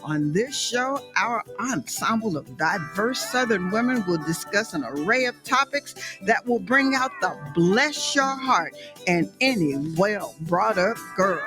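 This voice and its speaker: A woman's voice: medium pitch, lively intonation, a little slow. A woman announcer reading a scripted show introduction. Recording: loud music under the voice. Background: loud music.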